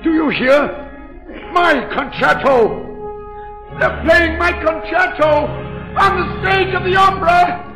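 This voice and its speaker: An actor in a 1940s radio drama playing a mad, disfigured masked composer, theatrical and brooding, obsessively tender. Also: male voice, very high-pitched, exaggerated voice. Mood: feverish, exalted. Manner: theatrical, slow, brooding speech that swells into frenzy. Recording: echoey 1940s radio broadcast with music.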